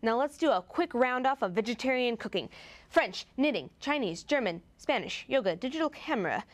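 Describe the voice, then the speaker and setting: A woman's voice: on the high side, lively intonation, a little fast. A woman presenting a scripted promotional piece in a chirpy, gushing, tongue-in-cheek manner.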